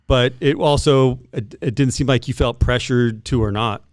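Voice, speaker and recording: A man's voice: medium pitch, moderate pace, no room sound, clean studio sound. A man hosting a casual interview, asking prompting questions in a friendly manner. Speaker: interview host.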